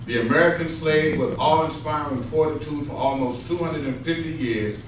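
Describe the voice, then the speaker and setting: A man's voice: fairly high pitch, normal speed. A man reading a solemn historical script aloud in a readers theater rehearsal. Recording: very echoey room, distant mic, clear noise.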